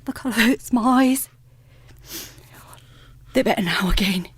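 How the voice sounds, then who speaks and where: Woman acting a gentle, sorrowful mother, higher voice. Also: unhurried, slightly rough, no room sound. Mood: wistful sorrow. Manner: tender, wistful. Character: gentle grieving mother bravely hiding her sorrow. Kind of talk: theatrical radio drama acting.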